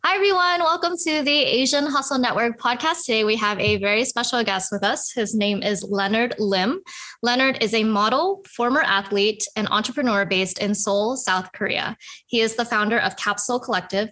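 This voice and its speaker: A woman's voice: fairly high pitch, normal speed, sharp tone. A woman co-hosting a podcast, reading a scripted introduction of the show and its guest. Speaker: podcast co-host.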